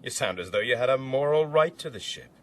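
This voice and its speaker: An actor playing an educated, determined castaway in a radio drama, earnest and articulate. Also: male voice, medium pitch, expressive, brisk, lightly acted. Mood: defiant. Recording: sea and boat noise, music behind.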